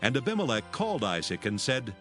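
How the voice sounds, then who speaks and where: A man narrating scripture from a script in a formal, measured manner. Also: mid-range voice, big pitch swings, sharp tone. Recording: music behind. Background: music.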